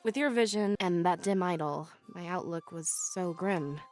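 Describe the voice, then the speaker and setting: A woman's voice: on the low side, moderate pace, darkish tone, soft background music, close to an everyday voice. A woman voicing an earnest, hopeful female companion in a fantasy visual novel game. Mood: relieved, earnest.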